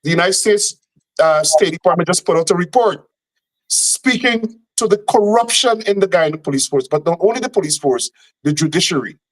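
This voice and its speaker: A man giving forceful, indignant commentary as a guest on a call-in show. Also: high voice, lively intonation, normal speed, poor audio.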